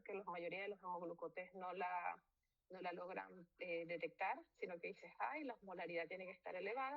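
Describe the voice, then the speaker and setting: A woman's voice: mid-range voice, gravelly, muffled recording. A woman doctor from Venezuela giving a medical lecture in a loose, informal way, with fillers and self-corrections. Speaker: physician lecturer.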